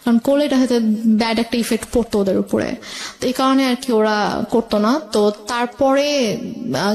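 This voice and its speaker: A woman's voice: a little high, a little mellow, low-quality recording. A woman with a Bangladeshi accent telling her personal story as a radio interview guest, hesitantly, repeating phrases and dropping in English words. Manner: mixes English words into speech.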